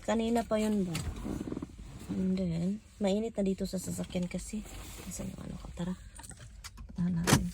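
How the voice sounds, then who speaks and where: A woman's voice: medium pitch, steady tone, brisk, vocal fry, pauses often. A woman from the Philippines with a Filipino accent, chatting casually for her vlog and giggling often. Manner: giggly, mixes English and Tagalog/Bisaya. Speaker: vlogger. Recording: loud background noise, traffic.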